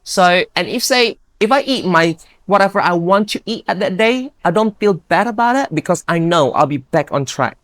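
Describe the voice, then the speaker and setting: A woman's voice: lowish voice, animated, moderate pace, few pauses, no room sound. Upbeat Indonesian-accented woman, dry sound. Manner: upbeat, earnest, non-native grammar. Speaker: guest, bodybuilder. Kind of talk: casual interview chat.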